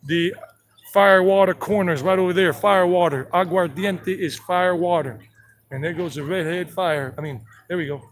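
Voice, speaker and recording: A man's voice: high voice, normal speed. A man from South Carolina giving a chatty, rambling walking-tour commentary outdoors, joking with his companion and greeting passersby. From South Carolina, United States. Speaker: tour guide vlogger. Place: outdoors on a town street and park.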